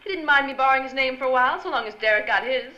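Vengeful femme fatale for a vintage radio play: bright, forceful, theatrical, heard in a very echoey space. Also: female voice, high-pitched, rapid-fire, lo-fi sound. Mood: smug, bitter. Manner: theatrical, smug, biting. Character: vengeful scheming femme fatale, vain fallen actress. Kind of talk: old-time radio drama acting. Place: stage before a live audience. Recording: echoey live stage, faint noise.

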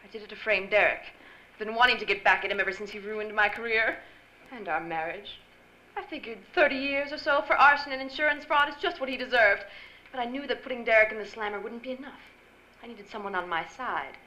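A woman's voice: a little high, quick pace, sharp tone. A woman playing a vain, vengeful fallen actress, a scheming villainess in an old-time radio drama, theatrical and biting. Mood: smug, bitter. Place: stage before a live audience. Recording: echoey live stage, faint noise.

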